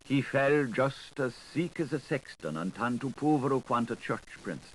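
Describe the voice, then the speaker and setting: A man narrating a literary audiobook in an expressive, theatrical way, playful with wordplay and sound effects. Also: medium pitch, normal speed, low-quality recording. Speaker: audiobook reader. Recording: 1980s audiobook recording with audible background noise.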